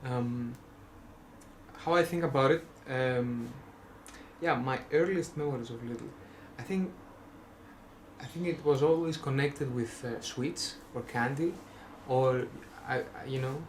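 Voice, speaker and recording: A man's voice: mid-range voice, unhurried, a little hiss or hum, poor audio. A young man from Greece with a Greek accent, rambling casually in a vlog, full of fillers and self-restarts with wry jokes. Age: young adult. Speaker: vlogger.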